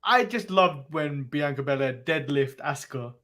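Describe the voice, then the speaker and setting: A man's voice: on the high side, normal speed, pushed and bright. An English man co-hosting a casual wrestling chat show, chatting with frequent stammered restarts. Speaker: podcast co-host.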